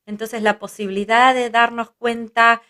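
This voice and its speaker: A woman's voice: fairly high pitch, level tone, normal speed, sharp tone. A woman with an Argentine Spanish accent, whose first language is Hebrew, speaking as a guest psychologist in a reflective interview, thinking aloud and often adding tag questions. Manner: thoughtful, listing ideas with frequent tag questions.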